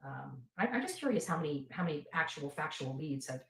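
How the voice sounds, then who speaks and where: A low-pitched woman hosts a casual livestream in a roomy, echoing space. She rambles to her followers and repeats phrases for emphasis. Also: animated, fairly quick, vocal fry. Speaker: livestream host and advocate.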